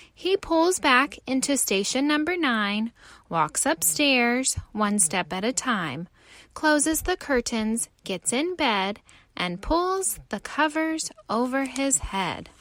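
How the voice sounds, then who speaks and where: A woman reading a story aloud for young children in an expressive, theatrical way. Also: high-pitched, slow. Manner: animated, theatrical delivery.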